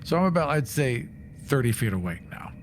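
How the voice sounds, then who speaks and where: A man's voice: medium pitch, big pitch swings, brisk, a little hiss or hum. A man playing a tabletop game, casually narrating what his character thinks and does.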